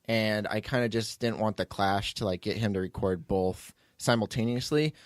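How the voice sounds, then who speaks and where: A man's voice: on the low side, moderate pace, no echo, clean studio sound. A man hosting a podcast as its writer and producer, telling behind-the-scenes stories in a casual, rambling way.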